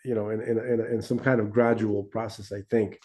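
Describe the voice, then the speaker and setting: Podcast guy, mellow darkish voice, rambles a lot, keeps saying right? okay?. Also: lowish voice, level tone, brisk. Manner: rambling, many restarts, seeks agreement with tag questions. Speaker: podcast co-host. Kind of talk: casual religious discussion.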